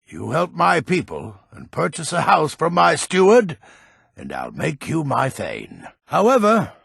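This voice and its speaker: Game role: haughty old mage-lord, grumpy and curt, heavily gravelled and husky, with wide swings in pitch. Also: male voice, huge pitch swings, a little slow, a bit bright, dry recording, studio quality, full character voice. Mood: stately, formal. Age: older. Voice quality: gravelly, raspy. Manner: gruff, curt, impatient. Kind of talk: video game character dialogue.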